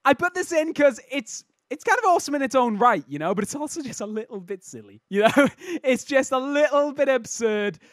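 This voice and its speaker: A man with a slightly croaky voice hosting casual, jokey video game commentary in a self-interrupting way. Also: extremely high pitch, expressive, fairly quick, fairly bright tone, no room sound, clean studio sound. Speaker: host of a game replay showcase.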